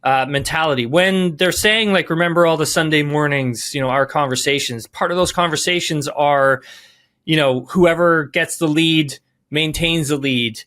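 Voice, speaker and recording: A man's voice: fairly high pitch, moderate pace, a bit bright. A man giving podcast sports analysis, emphatic, rephrasing and repeating key words as he goes.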